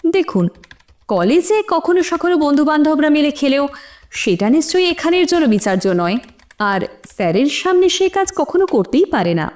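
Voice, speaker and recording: A woman's voice: high voice, very animated, brisk, darkish tone. A woman playing an earnest young college student on the witness stand in an audio drama, emphatic and slightly defensive. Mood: earnest, puzzled. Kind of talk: audio drama courtroom acting.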